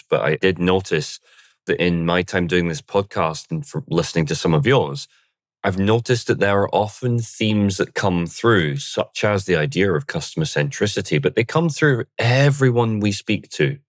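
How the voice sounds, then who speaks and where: A man hosts a podcast interview, framing his questions in a measured, thoughtful way. His voice sits fairly low. The sound is dry and close, with no room around it. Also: animated, dry recording. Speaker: podcast host.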